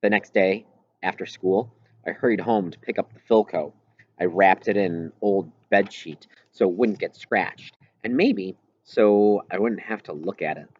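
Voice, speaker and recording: A man's voice: low-pitched, measured pace. A man reading a first-person story aloud as scripted audiobook narration. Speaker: audiobook reader. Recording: roomy echo, slightly dull lo-fi sound.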